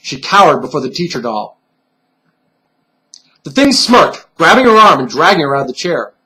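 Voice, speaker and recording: A man narrates a novel in a dramatic audiobook style. His voice is pitched somewhat high for a male reader, and he tells the story as its author. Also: sing-song, normal speed, pushed and bright, rough recording. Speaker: author reading their novel.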